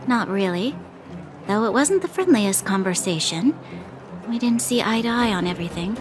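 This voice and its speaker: A woman's voice: medium pitch, expressive, moderate pace, crowd noise, natural voice. A woman playing a composed, dutiful young guard officer in a video game, earnest, restrained and formal. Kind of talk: English game voice acting. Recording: prominent background music.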